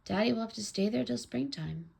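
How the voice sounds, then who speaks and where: A woman's voice: on the high side, lively intonation, brisk, vocal fry, darkish tone, slightly rough, pitched above the performer's own voice, exaggerated voice. A woman voicing a bold, stubborn young girl in a children's storybook. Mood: concerned, pleading.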